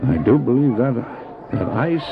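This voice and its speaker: A man acts out a determined frontier doctor in an old radio-style drama. His voice is fairly high and swings widely, exclaiming and talking to himself. Music plays strongly behind the dull cassette sound, and the voice has some gravel in it. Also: moderate pace. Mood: prayerful, earnest. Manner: animated, exclamatory, self-talking. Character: determined, devout male doctor driving a dog sled. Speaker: character who also narrates his story. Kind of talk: audio drama acting.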